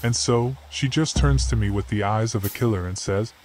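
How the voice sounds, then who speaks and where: A man's voice: on the low side, normal speed, a little mellow, close to an everyday voice, low-quality recording. An actor voicing a jovial working-class male beaver in a noir game, rambling like a folksy storyteller. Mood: amused storytelling. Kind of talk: noir video game voice acting. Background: music.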